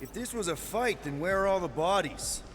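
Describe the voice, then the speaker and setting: Game hero: wry easygoing space adventurer, highish boyish voice, long reverb. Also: male voice, animated, moderate pace, a bit bright, a little hiss or hum. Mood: wry, focused. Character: easygoing, wisecracking young male space adventurer. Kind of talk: video game voice acting.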